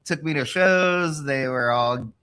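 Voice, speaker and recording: A man's voice: on the high side, slow, sharp tone, smooth. A middle-aged man from Hawaii chatting casually as a podcast guest, laid-back, swearing and laughing often. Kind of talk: casual chat.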